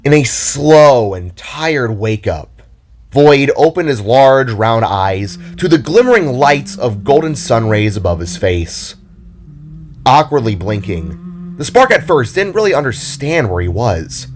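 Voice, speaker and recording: A man narrating a scripted story in a dramatic, deliberate and theatrical way. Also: mid-range voice, big pitch swings, slight background noise. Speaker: narrator and author.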